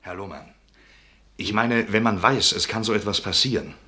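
An actor playing an earnest, persistent man in a radio play, insistent and serious, with a slightly husky voice. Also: on the low side, normal speed, sharp tone. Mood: urgent, persuasive. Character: earnest, urgent, persistent man pleading for help. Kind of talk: German radio play acting.

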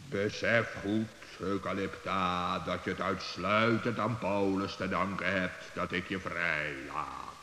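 A commanding wizard in a children's radio play, deep and unhurried. He is solemn and measured, in a reverberant, hissy old recording. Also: steady tone, bright, forceful tone, exaggerated voice. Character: stately, commanding male wizard of a castle.

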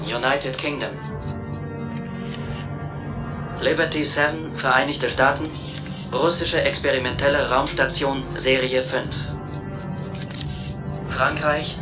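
A man's voice: mid-range voice, close to an everyday voice. A man playing a likeable, courteous little robot in a radio play, speaking in precise, articulate phrases. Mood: precise reporting.

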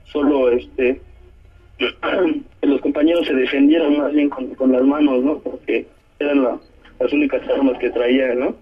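A man from Oaxaca with a southern Mexican accent gives a halting spoken testimony by telephone, often adding short tag questions. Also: mid-range voice, fairly even intonation, breathy edge. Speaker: detained community activist giving a statement. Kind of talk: spoken testimony by phone to a press conference. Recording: muffled, narrow-band telephone line.

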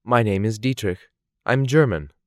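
A man reading scripted English course dialogues in a slow, clearly articulated, measured way. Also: fairly low pitch, no room sound, clean studio sound. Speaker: language course voice actor.

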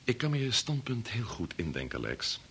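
Deep, grave old doctor. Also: male voice, huge pitch swings, a bit bright, slight background noise, heavily acted. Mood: grave, understanding. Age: older. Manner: measured, formal, authoritative. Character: grave, resolute senior doctor and father leading an experiment. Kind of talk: Dutch radio play acting.

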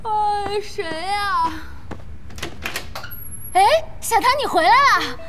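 An actress playing a feisty, bossy young internet cafe boss in an audio drama, scolding and teasing with lots of exclamation. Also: female voice, very high-pitched, extremely slow, noisy background, full character voice. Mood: surprised, friendly. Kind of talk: Chinese audio drama acting.

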